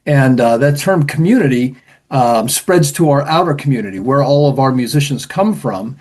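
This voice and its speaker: A man hosting an interview as the band's associate conductor, talking with many pauses and fillers. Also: mid-range voice, lively intonation, darkish tone.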